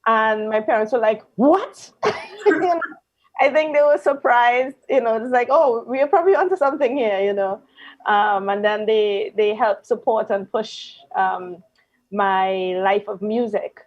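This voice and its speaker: A woman in her thirties from Trinidad and Tobago with a Trinidadian accent, telling her story in a relaxed interview chat with soft laughs and ums. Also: fairly high pitch, measured pace, dry recording, lo-fi sound. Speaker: guest musician.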